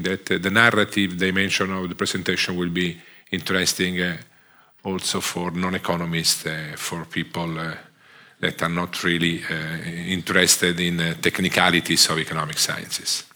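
An Italian man with a strong Italian accent, a guest economics lecturer opening his talk in English, halting with many fillers and restarts. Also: low-pitched, sharp tone. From Italy.

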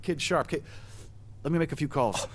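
An actor playing a harried man named Mark in a comedic audio drama, flustered and quick to change the subject. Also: fairly high pitch, natural voice. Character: harried adult male official named Mark.

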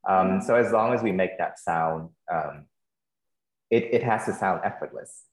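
Deep-voiced Vietnamese-accented man, echoey room. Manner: thoughtful, measured, with frequent fillers and restarts. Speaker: translator, panelist. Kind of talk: answering questions on a panel.

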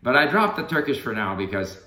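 A man's voice: mid-range voice, very animated, fairly quick, pushed and bright. An older man chatting casually and thoughtfully about learning languages, often restarting his sentences. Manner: rambling, thoughtful, many restarts. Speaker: language learner being interviewed. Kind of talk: casual conversation. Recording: echoey room.

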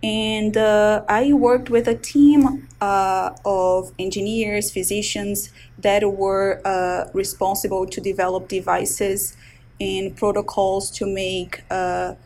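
A woman's voice: medium pitch, steady tone, slow, darkish tone. A woman in her thirties from Brazil with a Brazilian Portuguese accent, a resident physician explaining her research in an interview with frequent hesitations. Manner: explains medical terms carefully with frequent hesitations. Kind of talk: interview answers.

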